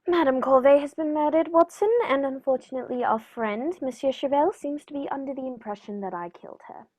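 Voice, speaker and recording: Woman as gentleman detective, calm, courteous, precise, slightly high pitch, old radio-play style. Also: fairly quick, lightly acted. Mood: composed. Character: composed, courteous English male master detective. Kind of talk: 1940s radio mystery acting.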